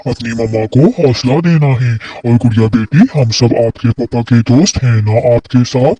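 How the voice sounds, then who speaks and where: A man's voice: medium pitch, normal speed, dark tone, no echo, highs cut off, natural voice. A man voicing a kind, supportive pigeon friend in a children's cartoon, speaking in an earnest, polite, consoling way.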